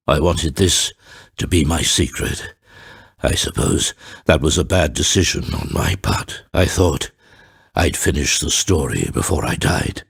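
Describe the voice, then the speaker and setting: An actor playing a grave, theatrical middle-aged father and storyteller in a video game, speaking in a deliberate, formal and grandiose way with a gravelly, husky voice. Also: very deep voice, no room sound, clean studio sound, exaggerated voice. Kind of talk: dramatic video game voice acting.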